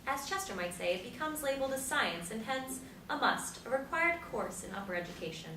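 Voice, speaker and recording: A woman playing an aging Beat philosopher, poetic, measured and hopeful, in a stage play. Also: sits high, lively intonation, bright, forceful tone. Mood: reflective, hopeful. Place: live stage performance recorded from a distance. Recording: roomy, distant mic, faint noise.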